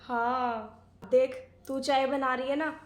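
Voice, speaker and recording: A woman's voice: high-pitched, steady tone, slow pace. Woman acting a nagging older aunt, echoey room. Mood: gossipy, boastful. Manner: dramatic, moralizing, chatty. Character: scolding, old-fashioned older aunt, nagging and self-righteous. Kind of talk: domestic comedy skit acting.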